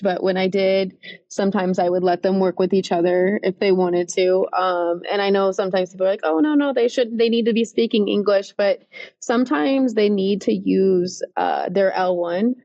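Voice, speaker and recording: A woman who coordinates English learner programs, talking through classroom advice in a relaxed, thoughtful way with frequent restarts. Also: medium pitch, normal speed, highs cut off. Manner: thoughtful, restarts often, many "um" and "right?". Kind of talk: casual podcast interview.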